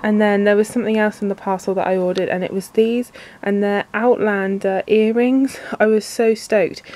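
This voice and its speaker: A woman's voice: mid-range voice, fairly even intonation, moderate pace, darkish tone, a little hiss or hum, low-quality recording. A woman, chatting casually in a book vlog, full of ums and likes, sniffly and congested from a cold. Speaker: book vlogger. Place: work bathroom.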